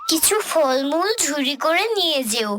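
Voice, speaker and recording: A woman's voice: sits high, measured pace, background noise. A woman voicing a clever young male jackal who gives knowing, instructive advice in a children's cartoon. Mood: instructing. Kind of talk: children's animated story acting.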